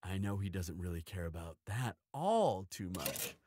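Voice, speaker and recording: A man's voice: low-pitched, big pitch swings, moderate pace, background noise. A man playing a cheeky, shrewd weaponsmith in an audio roleplay, with a playful, theatrical delivery. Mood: suspicious, bargaining. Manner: playful, mock-wounded, dramatic. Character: cheeky, theatrical male weaponsmith and shrewd old family friend. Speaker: guest voice actor in audio roleplay. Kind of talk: scripted audio drama acting.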